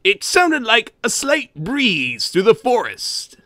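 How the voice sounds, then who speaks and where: A man voicing a gruff, greedy old cartoon sea-crab boss, gravelly and theatrical, narrating a comic scary story in character. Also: very high voice, wildly swooping pitch, takes it slowly, sharp tone, no room sound, clean studio sound, full character voice. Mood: ominous storytelling. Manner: theatrical, with grumbling asides and barking chuckles.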